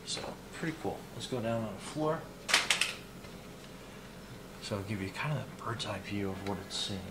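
A man in his fifties giving a casual, unhurried hands-on product demo, thinking aloud as he goes. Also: lowish voice, expressive. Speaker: product reviewer and video host. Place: small indoor hobby room at a desk. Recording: clear background noise, room ambience.

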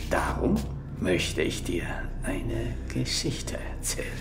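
Old Shaolin monk, brooding and whispery, radio play, echoing space over music. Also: male voice, mid-range voice, very animated, gravelly, exaggerated voice. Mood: solemn, brooding. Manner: slow, dramatic, often whispery delivery. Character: old Shaolin monk, solemn brooding storyteller, mocking jailer. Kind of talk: German radio play acting.

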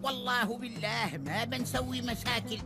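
A stubborn, high-pitched old Emirati cartoon neighbor, insistent and scheming. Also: male voice, normal speed, music in the background. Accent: Emirati Arabic.

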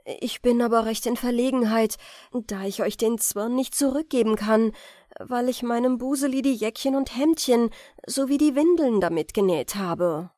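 A woman's voice: fairly high pitch, moderate pace, mellow, dry recording, clean studio sound, lightly acted. A woman voicing a polite, apologetic young mother in a fairy-tale audiobook. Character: friendly, apologetic young mother, gardener's wife.

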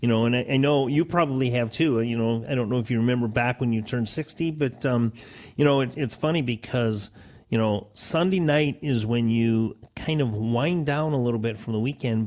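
A man's voice: mid-range voice, a little mellow. A man in his sixties giving retirement advice in a rambling, conversational way, full of fillers and restarts. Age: 60s. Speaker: retirement advisor and co-host.

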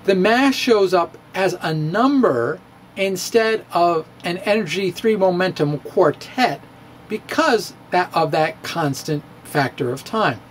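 A middle-aged man reads his physics blog aloud in a playful, theatrical way. His pitch swings widely and he takes his time. A close studio microphone in a book-lined home study picks him up over a faint hum. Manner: playful, theatrical, with chuckles and asides. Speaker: physics blogger. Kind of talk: dramatic reading of his own blog. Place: home study with bookshelves, indoors. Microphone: studio microphone on stand, close.

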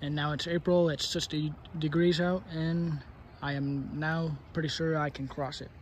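Young man, casual vlog, slightly high voice, rambling fillers, phone at arm's length, faint outdoor noise. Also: level tone, normal speed. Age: young adult. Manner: rambling, hesitant, many fillers. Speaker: vlogger. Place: outdoors on a wooded trail by a river. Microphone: phone camera at arm's length.

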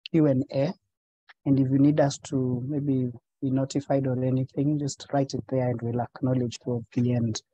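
Webinar moderator guy, very mellow dark voice, dry close sound, lots of uh's. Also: medium pitch, slightly rough, no echo, low-quality recording. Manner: hesitant, many fillers. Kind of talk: formal introduction. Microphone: video call.

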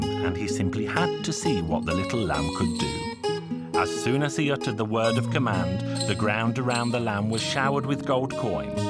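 A man narrating a cartoon folk tale with expressive storytelling. His low voice swings widely in pitch, and loud folk music plays behind him.

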